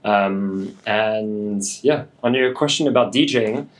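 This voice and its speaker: A man in his late twenties, French-born and raised in Hong Kong, talking in a relaxed, thoughtful way as a DJ guest, with many fillers. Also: fairly low pitch, measured pace. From France, raised in Hong Kong. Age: 20s. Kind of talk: casual interview.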